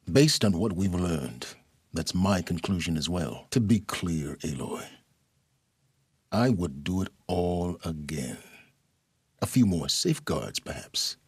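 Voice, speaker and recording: An actor playing a cunning, arrogant male scholar in a video game, speaking in a measured, aloof, articulate and condescending way. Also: sits low, breathy edge, no echo, heavily acted. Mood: unrepentant, aloof. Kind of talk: scripted video game dialogue.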